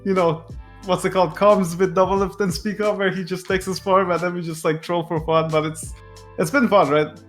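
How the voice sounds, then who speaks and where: A man's voice: high voice, steady tone, a little fast. A man who is a pro gamer, giving casual, chatty interview answers. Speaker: pro esports player. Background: background music.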